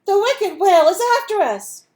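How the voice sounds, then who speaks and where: A woman's voice: very high-pitched, very animated, moderate pace, a little mellow, pitched above the performer's own voice. A woman voicing an alarmed, wary male fish in a storybook, urgently warning the others.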